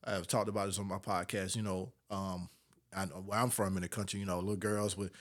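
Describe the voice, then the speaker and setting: A man in his fifties with a fairly low voice. He talks as a podcast host in a casual, colloquial way, circling back over his words as he forms a thought. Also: little pitch movement, fairly quick, a bit bright. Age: 50s. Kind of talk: casual conversational interview.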